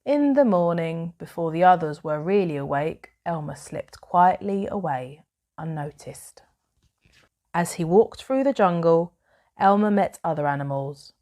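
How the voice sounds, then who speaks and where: A woman reading a children's bedtime story aloud in a measured, expressive way with dramatic pauses. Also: fairly low pitch.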